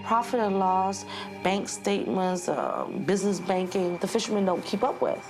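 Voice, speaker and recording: A woman's voice: mid-range voice, sing-song, normal speed, music in the background, rough recording. A woman who directs a nonprofit, speaking firmly and earnestly about the people she serves. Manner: firm, earnest advocacy. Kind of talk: documentary interview.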